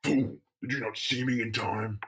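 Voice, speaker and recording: A man's voice: on the low side, steady tone, normal speed, far from the mic, noisy background, lightly acted. A man voicing a huge, impatient stone monster who scorns everyone around him. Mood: gruff, scornful.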